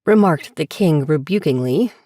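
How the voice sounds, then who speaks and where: A female narrator reads a scripted story. Her voice sits slightly low, and it is recorded close and dry, with no room sound. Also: lively intonation, normal speed, dark tone, dry recording.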